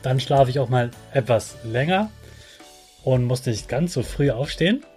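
A man's voice: mid-range voice, lively intonation, a little slow, a little mellow, soft background music. A man hosts a children's podcast, talking directly to the child in simple, encouraging, motivational words.

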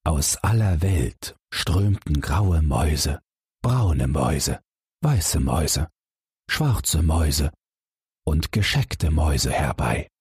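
A man calmly reading a fairy tale aloud as a bedtime audiobook narrator. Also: extremely low pitch, slow, breathy edge, dry recording, clean studio sound. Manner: calm, expressive storytelling.

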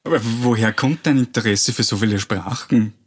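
A man's voice: fairly low pitch, normal speed, husky. Man hosting, hesitant questions. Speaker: podcast host. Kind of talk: casual interview questions.

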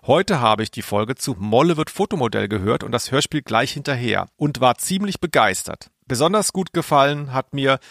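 A man's voice: medium pitch, a bit bright, no echo, studio quality. A man co-hosting a podcast in casual banter and reading out listener mail. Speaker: podcast co-host.

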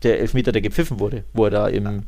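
A man's voice: fairly low pitch, steady tone, fast talker, warm tone. A man co-hosting a podcast, giving his opinions in a casual, colloquial sports chat. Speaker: football podcast co-host.

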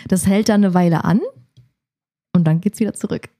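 A woman's voice: fairly low pitch, steady tone, fairly quick, mellow, dry recording, clean studio sound. A woman in her late twenties speaking frankly and easily as an interview guest. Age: 20s. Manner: frank, easygoing, laughs at herself. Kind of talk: casual chat.

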